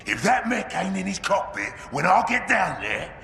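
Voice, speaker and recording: An actor voicing a menacing, bad-tempered ork warboss with a gravelly voice in a video game. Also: male voice, high-pitched, sing-song, moderate pace, bright, forceful tone, a little hiss or hum, full character voice. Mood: angry, threatening. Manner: bellowing, threatening. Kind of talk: video game cutscene acting.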